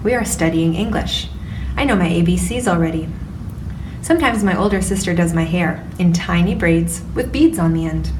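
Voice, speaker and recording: A woman voicing a gentle young village girl in a calm storybook reading, recorded from a distance with background noise. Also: lowish voice, normal speed, reverberant, close to an everyday voice.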